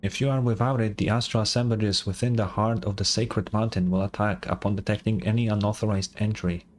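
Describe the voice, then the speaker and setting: A man's voice: lowish voice, steady tone, fairly quick, slight background noise, close to an everyday voice. A man voicing a courteous, knowing guide who speaks through machines in a game, formal in manner. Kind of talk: reading game dialogue aloud.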